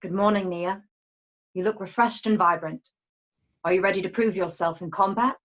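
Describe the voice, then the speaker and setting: A woman acts out a dignified leader presiding over warrior rites. She declaims formally in a medium-pitched voice for a radio drama. The recording is a little dull and lo-fi. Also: normal speed, a bit bright, lightly acted. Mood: composed. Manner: ceremonial, declamatory. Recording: slightly dull, lo-fi sound.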